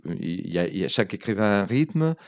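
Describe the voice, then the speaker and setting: Low-pitched Argentine man, hesitant French delivery. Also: very animated, quick pace, no room sound, clean studio sound. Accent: Argentine Spanish. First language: Spanish. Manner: hesitant, searching for words. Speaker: writer and translator, interview guest. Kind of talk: conversational interview, telling anecdotes.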